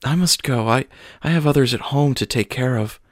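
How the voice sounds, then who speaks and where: A man's voice: mid-range voice, brisk, dry recording, clean studio sound, pitched above the performer's own voice, lightly acted. A man voicing a distraught mother who stammers through her tears and breaks off mid-sentence. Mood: distraught pleading. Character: distraught middle-aged mother, sobbing and pleading.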